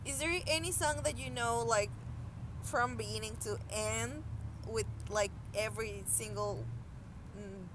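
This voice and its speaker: A woman's voice: a little high, steady tone, unhurried, background noise. A woman co-hosting a podcast, chatting casually and informally with a friend, with some swearing. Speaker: podcast co-host. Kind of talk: casual chat between friends.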